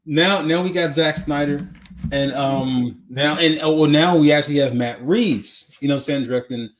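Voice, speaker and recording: A man co-hosting a podcast in casual conversation, talking haltingly with repeats and frequent check-in phrases to the listener. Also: a little high, slight background noise. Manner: halting, repetitive, peppered with "you know what I'm saying". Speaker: podcast co-host. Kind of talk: casual chat about movies.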